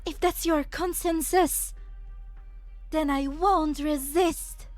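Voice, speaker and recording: A woman playing a gentle, idealistic, dreamy scientist in an amateur game fan dub. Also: high-pitched, steady tone, takes it slowly, dry recording, soft background music. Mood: resigned.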